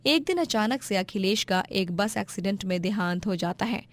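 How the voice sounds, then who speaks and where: A woman's voice: medium pitch, animated, normal speed. A woman reading scripted moral-story narration as the storyteller.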